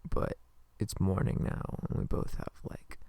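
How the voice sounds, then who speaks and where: A man playing a sleepy, affectionate partner the morning after a hookup in an intimate ASMR roleplay, murmuring hesitantly and tenderly in a breathy, gravelly voice close to the mic. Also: measured pace, warm tone, no echo, close to an everyday voice. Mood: shy, affectionate.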